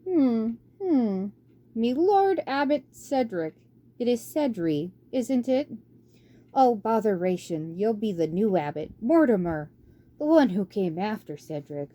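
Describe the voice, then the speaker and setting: A woman voicing an ancient, fussy and forgetful male mouse scholar who squeaks barely above a whisper and mutters to himself. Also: sing-song, takes it slowly, mellow, full character voice. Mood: doddering. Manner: doddering, muttering hmm and tutting. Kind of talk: audiobook character voice.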